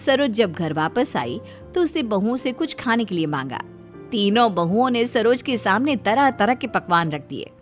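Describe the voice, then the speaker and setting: A woman's voice: medium pitch, sing-song, moderate pace, faint music behind, lightly acted. A woman narrating a scripted cartoon family story. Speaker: narrator and character voice.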